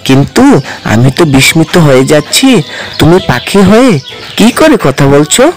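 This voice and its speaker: A man's voice: a little high, big pitch swings, normal speed, faint music behind. A man playing a humble, polite Brahmin pundit in a fairy-tale cartoon. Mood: puzzled, earnest.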